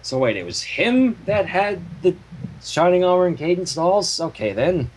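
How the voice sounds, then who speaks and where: A man's voice: sits high, very animated, moderate pace, slight background noise, poor audio. A man reading fan fiction as narrator, with casual asides. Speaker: story reader.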